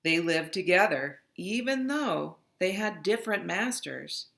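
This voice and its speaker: A woman reading a children's picture book aloud in an expressive, dramatic narrating style. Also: fairly low pitch, measured pace, vocal fry. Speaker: storybook reader.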